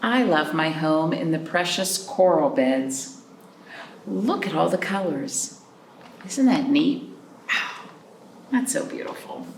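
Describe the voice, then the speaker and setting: Animated woman hosting a children's storytime. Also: low-pitched, takes it slowly, pauses often. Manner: animated, addresses children with simple questions. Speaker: children's library storytime host. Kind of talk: picture-book reading and chat for young children. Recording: roomy, distant mic with background noise.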